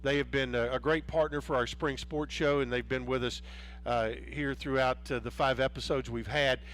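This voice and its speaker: A man's voice: medium pitch, fairly even intonation, normal speed, a bit bright. A man hosting a casual sports interview, rambling with many restarts and fillers. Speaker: sports show host.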